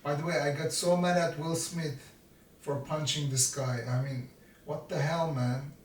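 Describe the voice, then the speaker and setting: Slow rambling man in his fifties. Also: a little high, fairly even intonation, slight background noise. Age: 50s. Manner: slow, self-deprecating, jokey asides to himself. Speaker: vlogger. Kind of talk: rambling self-mocking monologue. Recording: roomy, from a distance.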